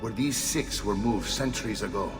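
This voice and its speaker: A man's voice: on the low side, normal speed, natural voice. A man playing a bluff middle-aged Italian mercenary uncle in a video game, theatrical and commanding, with an Italian accent. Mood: reverent. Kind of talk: video game cutscene acting. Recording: distant, reverberant game audio under music.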